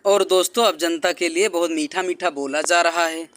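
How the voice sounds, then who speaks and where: A man's voice: fairly high pitch, fairly even intonation, moderate pace, fairly bright tone. A man delivering scripted, rhyming satirical narration in a mocking manner. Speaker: narrator of political troll video.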